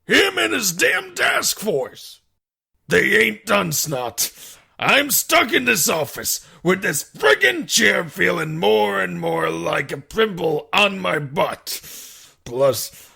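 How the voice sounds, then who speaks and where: A man voicing a loud, grouchy hard-boiled detective in a comic dub, griping in slangy bluster with a raspy, forceful voice. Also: fairly high pitch, sing-song, slow, full character voice. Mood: irritated, griping.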